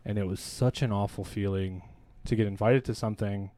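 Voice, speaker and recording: A man's voice: fairly low pitch, a little fast. A young adult man co-hosting a podcast, telling stories in a casual, profane way. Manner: casual, profane, animated storytelling with laughs. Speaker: podcast co-host. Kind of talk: casual chat.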